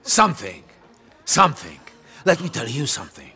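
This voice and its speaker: A man's voice: a little high, huge pitch swings, moderate pace, heavily acted. An actor playing a volatile, menacing middle-aged Russian mobster father with a Russian accent, brooding and explosive, in a video game. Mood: brooding menace. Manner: brooding mutters swinging into explosive shouting.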